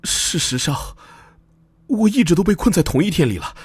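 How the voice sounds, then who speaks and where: A man playing a frightened, earnest young porter who pleads desperately in an animated comic dub. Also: high-pitched, normal speed, breathy edge, no room sound, exaggerated voice. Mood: anxious.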